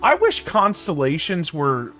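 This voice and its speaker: A man livestreaming a game and chatting casually with his viewers in an informal, halting, repetitive way. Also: a little high, huge pitch swings, bright, forceful tone. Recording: faint game music behind. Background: faint game music.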